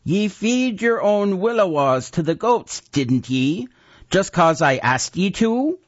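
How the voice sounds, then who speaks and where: A man voicing a gruff, ruthless old male wizard who is a talking severed head, bellowing in archaic rustic speech, on a dull lo-fi recording. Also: high voice, sing-song, slow pace, no room sound, pitched above the performer's own voice, full character voice. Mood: gruff commanding.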